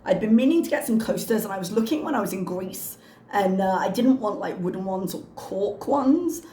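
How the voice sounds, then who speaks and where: A woman with a hoarse, slightly strained voice, chatting casually and wryly as a reaction channel host. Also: medium pitch, lively intonation, brisk, mellow, reverberant, a little hiss or hum. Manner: chatty, wry, self-mocking. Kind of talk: casual chat to camera.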